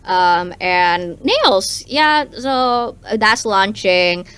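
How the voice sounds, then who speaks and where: A woman's voice: mid-range voice, expressive, drawn-out words, a bit bright, smooth. A woman who is a content creator with English as her first language, chatting casually and brashly on a podcast, swearing freely. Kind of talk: casual podcast chat mixing English and Indonesian.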